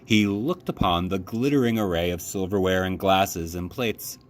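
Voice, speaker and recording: A man reading a children's book aloud in an expressive storytelling style. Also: low voice, moderate pace. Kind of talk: expressive read-aloud narration. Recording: faint background noise.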